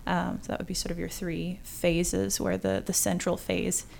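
Woman, lowish voice, podcast guest, articulate, academic, explanatory. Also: level tone, moderate pace, gravelly, darkish tone, slight background noise. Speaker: guest writer. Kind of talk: conversational literary discussion.

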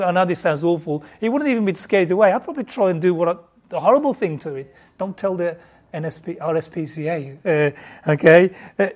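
A woman's voice: medium pitch, a little mellow. Woman teaching Bible, chatty. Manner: informal, stumbling restarts, asks the audience questions. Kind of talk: conversational Bible teaching to a group.

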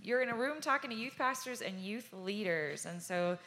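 A woman's voice: mid-range voice, lively intonation, normal speed, a bit bright. A woman moderating a panel, asking questions in an upbeat, conversational way. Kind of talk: hosting a panel Q&A.

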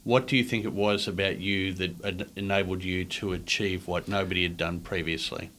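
Low-voiced man hosting a podcast. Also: fairly even intonation, normal speed, a bit bright. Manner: brief encouraging backchannels. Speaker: podcast host. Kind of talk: podcast interview.

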